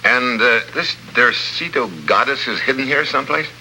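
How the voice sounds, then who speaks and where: An actor playing a laconic American government agent in 1950s radio drama, with a dry, hard-boiled delivery. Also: male voice, mid-range voice, moderate pace, bright, forceful tone, a little hiss or hum, rough recording. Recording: vintage 1950s radio with music.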